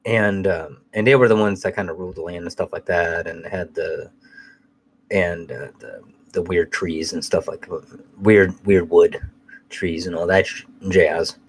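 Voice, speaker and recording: A man's voice: low voice, measured pace, a little hiss or hum. A man hosting a casual live chat while fighting a cold, sounding nasal, rambling loosely and swearing now and then. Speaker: host.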